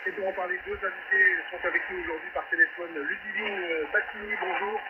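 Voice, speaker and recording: A male debate host with a fairly high voice speaks in a radio presenting style. The shortwave reception is muffled, with loud static washing over him. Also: fairly even intonation, fairly quick. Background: loud radio static and hiss.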